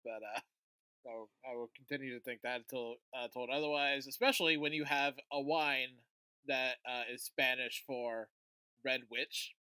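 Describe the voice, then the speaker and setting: A podcast co-host leading a casual chat about TV episodes, relaxed and conversational, often trailing off quietly. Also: medium pitch, normal speed, clean tone, dry recording, low-quality recording.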